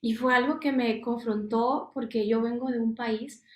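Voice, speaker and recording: A young woman from Guatemala gives an earnest testimony, pausing often on fillers and restarting her sentences. Her voice sits a little high for a woman. The sound is roomy and echoey. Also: fairly even intonation. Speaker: missionary speaker. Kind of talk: personal testimony at a missions workshop.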